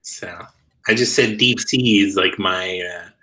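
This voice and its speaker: Easygoing co-host, man, a touch low, loose chatty delivery. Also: unhurried, pushed and bright. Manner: easygoing, laughing, loose phrasing. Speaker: comic artist and co-host. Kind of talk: casual video call chat.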